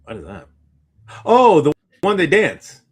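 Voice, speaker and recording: A man's voice: fairly high pitch, huge pitch swings, unhurried, slightly rough. Laid-back man chatting in casual slang. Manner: informal, slangy speech. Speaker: podcast co-host. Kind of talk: casual chat.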